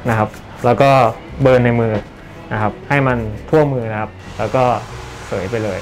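A man's voice: mid-range voice, steady tone, moderate pace, soft background music. A young man working as a senior barber, explaining a haircut and styling steps politely while working in a barbershop. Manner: polite, explanatory, often ends phrases politely. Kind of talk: hands-on styling demonstration. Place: barbershop indoors.

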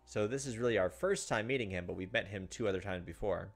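A low-voiced man reads visual novel narration aloud in a dramatic, halting way. He sits close to a desk microphone, with faint game music behind him, and adds commentary as a host. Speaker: gaming video host.

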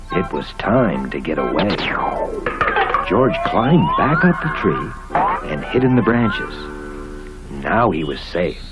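A man telling a children's story in an expressive storybook narrator's style. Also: deep voice. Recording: muffled vintage cartoon soundtrack with music.

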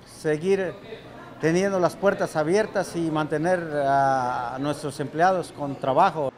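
Restaurant guy getting interviewed, really high voice for a man, off the cuff. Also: a little slow, slight background noise. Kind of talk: spontaneous interview answers.

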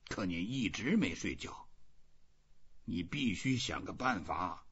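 A man voicing a weary, stubborn old fisherman in an audiobook, talking to himself slowly and half under his breath. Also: deep voice, a bit bright, husky, dull audio, exaggerated voice.

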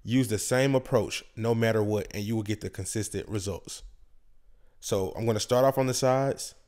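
A man's voice: fairly low pitch, moderate pace, darkish tone. A man narrating a scripted barbering tutorial in a plain, instructional way. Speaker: barber instructor and channel host.

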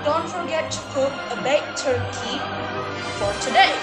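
A young woman acts out a cruel stepmother in an amateur stage reading, bossy and scornful. Her voice is high and scolding. The room echoes over a video call, with music behind. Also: unhurried. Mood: bossy, demanding. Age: young adult. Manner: scolding, imperious. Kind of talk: amateur readers theater performance.

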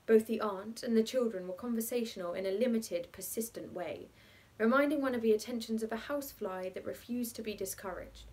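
A woman's voice: on the high side, a little mellow. Young woman narrating a classic story. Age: young adult. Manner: measured, articulate storytelling delivery. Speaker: actor reading a short story aloud. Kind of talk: expressive literary narration of a classic short story.

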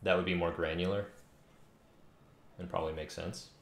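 A man in his thirties with a very low voice full of fry, thinking out loud in an informal, self-deprecating way. The room rings noticeably around him, with keyboard clicks and a faint noise underneath. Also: steady tone, normal speed, roomy sound. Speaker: game developer livestreaming. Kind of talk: casual thinking aloud while working. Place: indoor home room. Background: faint noise, keyboard typing and clicks.

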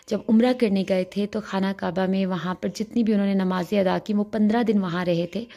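A young woman talks chattily to her viewers as friends, narrating her day at home into a phone. Her voice is mellow, with a gravelly, husky edge from a sore throat. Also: mid-range voice. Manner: chatty, warm-hearted, addressing viewers as friends. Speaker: vlogger. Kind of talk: casual home vlog voice-over. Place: home, indoors.

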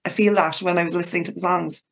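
A woman's voice: on the low side, steady tone, fast talker, creaky, over loud music. A woman co-hosting a podcast, interviewing in a conversational way.

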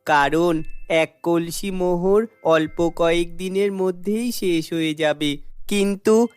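Poor little boy voiced by a woman, earnest and plaintive, unhurried pace, cartoon drama with faint music behind. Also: on the low side, smooth, no echo. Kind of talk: children's cartoon acting.

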